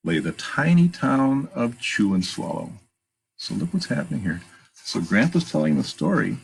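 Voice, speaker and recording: Storytime reader: man, gravelly voice with expressive swings, reading aloud and commenting on the pictures. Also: medium pitch, poor audio. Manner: pauses to point out pictures and ask listeners questions; animated, emphatic read-aloud delivery. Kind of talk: reading a picture book aloud with chatty asides.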